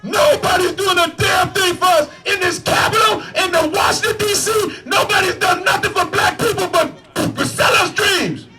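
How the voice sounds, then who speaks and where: A man delivers a fiery protest speech at an outdoor rally in a very high, bright, tense voice, shouting most lines. He hammers repeated phrases like a preacher. Crowd murmur and faint music sit behind him. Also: normal speed, hardly any pauses. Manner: fiery, repetitive, preacher-like cadence. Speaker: political candidate at a rally.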